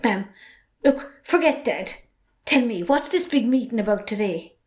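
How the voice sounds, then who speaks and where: Woman acting forthright Irish daughter, high-pitched. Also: animated, unhurried. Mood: frank, annoyed. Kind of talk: amateur stage drama acting.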